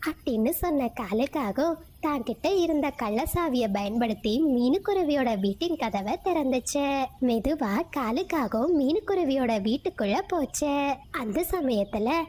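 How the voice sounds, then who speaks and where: A woman narrating a children's cartoon story in a steady, clear storybook manner. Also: high-pitched, moderate pace.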